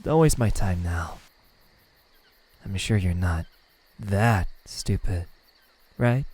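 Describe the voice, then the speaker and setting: A man playing a smug, teasing demon in an ASMR roleplay, taunting softly with drawn-out pauses and a breathy, husky voice. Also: low-pitched, lively intonation, full character voice. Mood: mocking amusement. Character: smug, teasing male demon toying with a captive. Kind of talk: ASMR roleplay, slow intimate villain acting.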